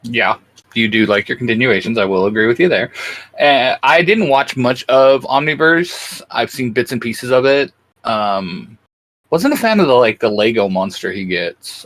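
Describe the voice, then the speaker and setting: Man with lively, swinging intonation. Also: lowish voice, moderate pace. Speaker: co-host of a fan discussion show. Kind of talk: casual chat giving opinions on cartoons.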